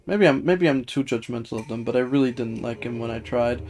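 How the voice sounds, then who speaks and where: A man live streaming a video game, chatting casually with viewers in a hesitant, halting way that often repeats words. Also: medium pitch, a little mellow. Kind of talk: casual chat while gaming, reading and answering viewer comments. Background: video game music.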